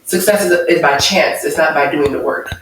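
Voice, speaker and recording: A woman guest talking about her life, earnest and thoughtful, pausing between her thoughts. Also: deep voice, background noise. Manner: earnest, thoughtful, measured with pauses. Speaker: interview guest. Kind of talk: reflective personal testimony. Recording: echoey room, mic far off.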